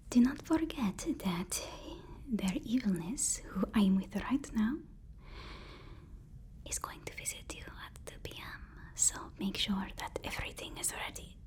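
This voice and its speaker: A woman playing a devoted, anxious assistant to a supervillain in an ASMR roleplay, whispering in a halting, soothing, deferential way. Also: mid-range voice, darkish tone. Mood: hushed, stern.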